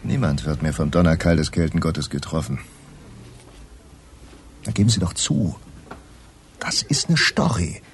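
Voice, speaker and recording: An actor playing a pushy newspaper reporter, emphatic and insistent, in a naturalistic radio crime play. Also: male voice, extremely low pitch, big pitch swings, unhurried, pauses often, a little hiss or hum, full character voice. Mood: eager, insistent. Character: pushy male newspaper reporter hungry for a story.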